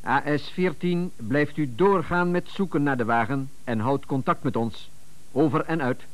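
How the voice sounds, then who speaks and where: An actor in a radio play, playing a courteous, careful police dispatcher who gives orders in measured, official phrases. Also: male voice, fairly high pitch, lightly acted. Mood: calm, official. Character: formal, careful male police dispatcher in command.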